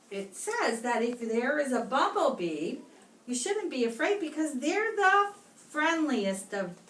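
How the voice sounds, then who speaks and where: A woman reading a children's picture book aloud like a teacher, with expressive, deliberate phrasing and pauses for young listeners, recorded at a distance in a room. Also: fairly high pitch. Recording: roomy, distant microphone, clear background noise.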